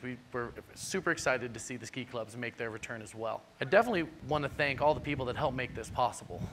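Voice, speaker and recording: A man's voice: medium pitch, noisy background. Upbeat man outdoors by a lake. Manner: earnest, upbeat. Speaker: ski resort manager. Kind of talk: prepared thank-you remarks to camera.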